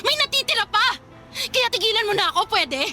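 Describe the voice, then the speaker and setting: A woman's voice: very high voice, lively intonation, a little fast, over music. A woman playing a scorned, proud ex-mistress in a Filipino radio drama, confrontational, sarcastic and emotional. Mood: hurt, indignant.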